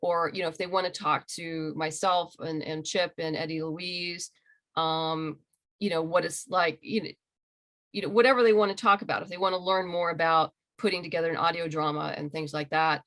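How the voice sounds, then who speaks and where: A woman with a fairly low voice talks as a guest in a casual interview over a video call. She sounds enthusiastic about her creative work and speaks in a conversational, unscripted way. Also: fairly even intonation, normal speed, a bit bright. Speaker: interviewed comic book writer and audio drama creator.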